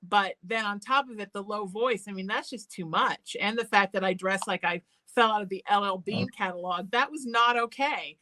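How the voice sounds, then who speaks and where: A woman from Connecticut hosting a radio talk show, chatting with a guest in a frank, expressive way. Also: medium pitch, normal speed, hardly any pauses, low-quality recording. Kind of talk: casual interview chat.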